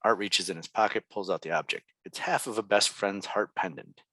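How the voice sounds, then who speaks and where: Deep-voiced male script narrator, dry sound. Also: a little fast, pushed and bright, no room sound. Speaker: narrator reading stage directions. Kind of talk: scripted narration at a table read.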